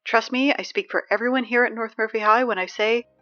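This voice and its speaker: Wry, high-voiced teenage girl announcer, played by a woman. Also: fairly even intonation, a little fast, muffled recording, faint music behind, close to an everyday voice. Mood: upbeat, weary. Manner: rambling, sardonic asides, hesitant self-interruptions. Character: anxious, wry high school girl reading morning announcements. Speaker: school announcer. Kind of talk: scripted audio drama monologue as PA announcements.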